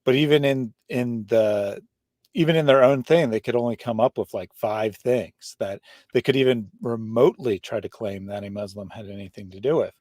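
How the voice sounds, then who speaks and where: A man's voice: medium pitch, moderate pace, a little mellow, no room sound, studio quality. A man speaking as a guest in a casual discussion, blunt and emphatic.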